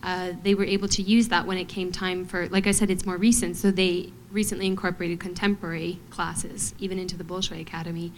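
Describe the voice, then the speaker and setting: A young adult woman from Montana, a ballet artist answering on a panel in a thoughtful, measured way with frequent pauses and fillers. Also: on the low side, little pitch movement, a little hiss or hum, rough recording. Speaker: guest artist, ballet dancer.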